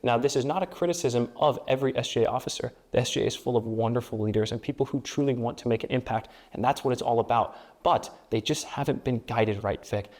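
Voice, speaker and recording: A young man whose first language is Spanish and who grew up in Peru and the Dominican Republic. He is a student government candidate giving a speechlike campaign pitch in an interview, building repeated phrases into rhetorical lists. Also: fast talker, hardly any pauses, darkish tone. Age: young adult.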